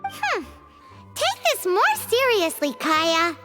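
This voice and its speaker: A tiny floating fairy girl, with a very high, bouncy voice that leaps all over the place. She is chatty and a little bossy, pouts easily and calls herself by her own name. Game music plays behind her. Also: wildly swooping pitch, slow pace, heavily acted. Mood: teasing. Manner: refers to herself in the third person, pouty and chirpy. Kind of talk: video game dialogue.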